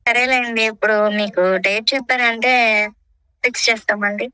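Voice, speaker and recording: A woman with a high, clear voice handles a phone call like restaurant staff. She is polite and businesslike, and the sound is close and dry. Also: fairly even intonation, fairly quick, bright, forceful tone, no room sound. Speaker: prank caller posing as restaurant staff. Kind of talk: phone call, customer-service patter.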